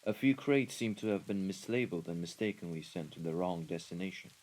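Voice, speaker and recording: Low-voiced male henchman, played by a man, formal, careful and deferential, for a mafia audio drama. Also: a little fast, darkish tone, close to an everyday voice, low-quality recording. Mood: deferential, explaining. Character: deferential male mafia underling reporting to his boss. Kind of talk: scripted audio roleplay drama.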